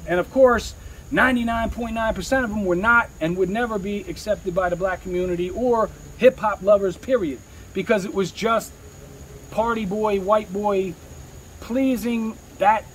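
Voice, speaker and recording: A man's voice: high voice, a little hiss or hum, poor audio. A man talking candidly in an interview as a rapper, with slangy, streetwise speech peppered with swearing.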